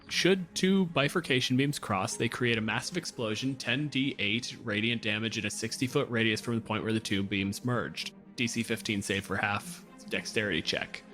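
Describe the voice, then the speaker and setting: A man's voice: medium pitch, moderate pace, over music. A man narrating a tabletop game as game master in a casual, profane way.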